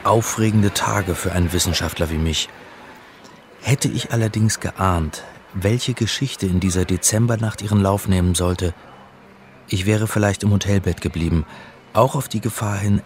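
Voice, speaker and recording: An actor playing a middle-aged scholar of religion who narrates a radio crime drama in an articulate, slightly pedantic way. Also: male voice, deep voice, steady tone, normal speed, slight background noise. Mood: brooding, wry. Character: bookish male scholar of religion narrating his story.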